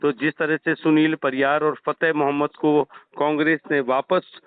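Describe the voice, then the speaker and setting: Male phone interviewer, somewhat high voice, courteous yet insistent, narrow call audio. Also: flat delivery, normal speed, smooth. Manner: polite but persistent, frequent acknowledgements. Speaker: journalist interviewer. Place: telephone call.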